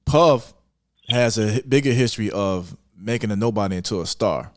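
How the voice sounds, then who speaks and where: A man's voice: lowish voice, moderate pace. A man on a podcast panel, chatting casually and insistently, often repeating himself. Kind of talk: casual hip-hop debate.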